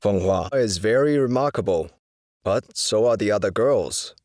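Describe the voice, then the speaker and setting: An actor playing a principled, composed dance choreographer in an audio drama, polite and measured. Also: male voice, lowish voice, expressive, darkish tone, no room sound, clean studio sound, lightly acted.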